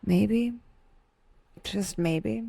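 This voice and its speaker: A woman narrating a scripted guided meditation with wry, dry humor and a soft, breathy delivery, leaving unhurried pauses. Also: mid-range voice, dry recording.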